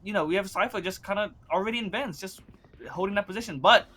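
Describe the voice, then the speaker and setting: A man's voice: sits high, fast, bright, forceful tone. A man giving casual, analytical color commentary on an esports match. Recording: noisy background.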